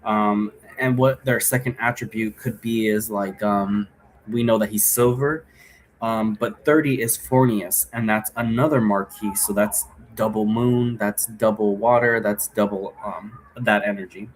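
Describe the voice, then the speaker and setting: A man giving a casual, unscripted explanation as a teacher, rambling along and often checking understanding with a quick "right?". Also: fairly low pitch, steady tone, slight background noise, low-quality recording. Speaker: teacher explaining occult role-play rules.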